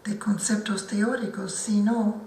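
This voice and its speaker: Slow, careful non-native woman in a hall. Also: mid-range voice, steady tone, vocal fry, a little mellow. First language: not Spanish. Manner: slow and careful, with frequent restarts. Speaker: book commentator at a presentation. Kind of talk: formal talk at a book launch. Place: lecture hall at an institute. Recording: roomy hall picked up from a distance.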